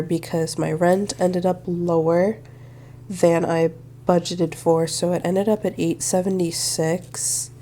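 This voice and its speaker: Young woman, congested and slightly nasal, mellow low voice, steady flat delivery, faint background hiss. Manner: friendly, thinks aloud while working numbers. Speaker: budgeting channel host. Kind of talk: casual budgeting walkthrough to camera.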